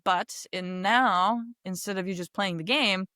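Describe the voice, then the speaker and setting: A woman's voice: mid-range voice, a little slow, pushed and bright, dry recording, studio quality. A woman in her thirties from Kosovo whose first language is Albanian, speaking as a podcast guest in a reflective, casual way, often adding "like" and "right?". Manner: reflective, peppers speech with "like" and "right?". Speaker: podcast guest, game designer. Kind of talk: casual interview chat.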